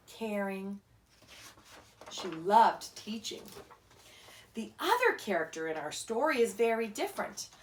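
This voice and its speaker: A woman reading a children's story aloud in an expressive, instructive way, pausing to ask the listener questions. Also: mid-range voice, far from the mic. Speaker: story reader, teacher.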